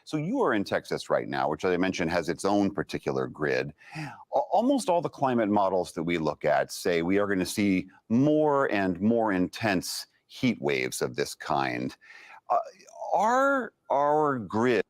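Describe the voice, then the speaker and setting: Low, very animated male interviewer. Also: a little slow, dry recording. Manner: inquisitive, stacks short questions. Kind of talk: explanatory news interview.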